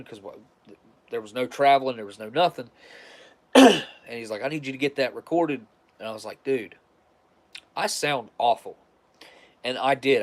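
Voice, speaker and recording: A man with a stuffed-up, nasal voice, talking casually and rambling on a livestream as its host. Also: medium pitch. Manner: rambling, restarts often, conversational.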